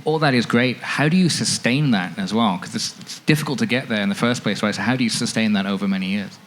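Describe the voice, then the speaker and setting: A man's voice: lowish voice, fast talker, a bit bright, a little hiss or hum, rough recording. Man moderating a panel. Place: indoor event stage with audience. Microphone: handheld microphone.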